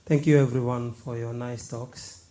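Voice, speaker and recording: A man from Senegal with a Senegalese accent, giving a measured, halting personal account as an audience member. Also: medium pitch, fairly even intonation, warm tone. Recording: faint background noise.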